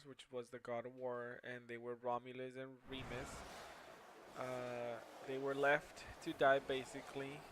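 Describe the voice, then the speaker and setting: A young man whose first language is Spanish, giving a class presentation in a halting way with many fillers and restarts. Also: mid-range voice, a bit bright, no echo, poor audio. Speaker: student presenter.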